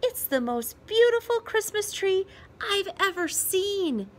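A woman voicing an eager, delighted little boy in a storybook, emphatic and excited. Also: very high-pitched, takes it slowly, pitched above the performer's own voice, heavily acted. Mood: awed. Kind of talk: storybook read-aloud character voice.

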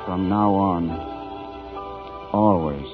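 A man's voice: deep voice, extremely slow. A man playing a devoted, tender sweetheart in a tinny 1940s radio drama. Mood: tender, soothing. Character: devoted, tender male sweetheart comforting his beloved. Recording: tinny 1940s radio broadcast, music behind.

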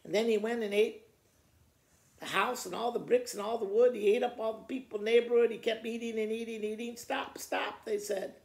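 A man in his sixties with a gravelly voice, reading children's poems aloud like a school principal to students, in a living room with the camera a little way off. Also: sits high, steady tone, brisk, a little mellow. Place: living room by a fireplace, indoors. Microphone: camera a short distance away. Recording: roomy indoor echo.

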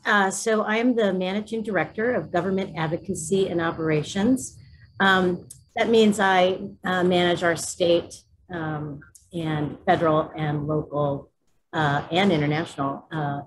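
An older woman who works as an advocacy director, speaking on a video call panel in a brisk, matter-of-fact way. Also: fairly low pitch, fairly even intonation, slightly rough, rough recording. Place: home kitchen.

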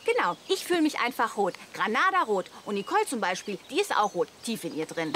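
An actress playing a naive, vain and excitable neighbour woman in a German radio play, chatty and gushing. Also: mid-range voice, a little fast, a little hiss or hum. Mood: self-absorbed. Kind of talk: German audio drama dialogue.

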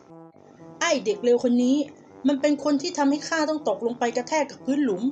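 A woman voicing an ungrateful, sly male wolf in a storybook fable, with slow, drawn-out lines. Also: high-pitched, mellow, muffled recording, faint music behind, lightly acted. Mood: accusing.

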